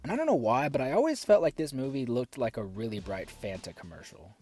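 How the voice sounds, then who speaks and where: Sarcastic, animated male film reviewer. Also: medium pitch, brisk, music in the background. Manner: sarcastic, exasperated asides. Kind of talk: scripted review commentary.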